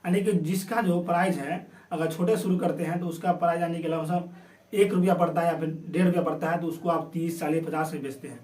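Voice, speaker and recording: A high-voiced man explains things informally as a video host, speaking through a sore throat. He tends to repeat and rephrase his points. The recording is roomy and echoey, with him sitting back from the microphone. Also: fairly even intonation, fairly quick, darkish tone. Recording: roomy echo, distant from microphone.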